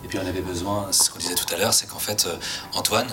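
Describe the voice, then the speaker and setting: A man's voice: deep voice, fairly even intonation, fast talker, a loud crowd. A man speaking as a creator on a panel, explaining his project informally and often rephrasing himself. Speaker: series creator and writer on a panel.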